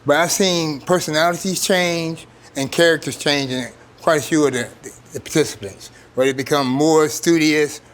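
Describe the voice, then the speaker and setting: A man's voice: a little high, steady tone, normal speed, few pauses, fairly bright tone. A man speaking as a trainer in an informal workshop, plain-spoken and repeating phrases for emphasis. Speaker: trainer, program coordinator.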